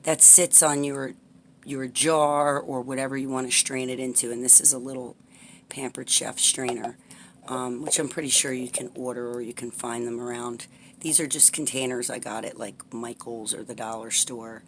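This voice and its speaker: Brief: raspy-voiced woman, home tutorial host, chatty and rambling, filmed over a tabletop. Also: rumbling low, little pitch movement, moderate pace, a little hiss or hum. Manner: chatty, rambling, frequent "okay?" check-ins. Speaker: herbal salve maker demonstrating a recipe. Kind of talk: casual how-to tutorial. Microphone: camera pointed at the table, speaker off-frame.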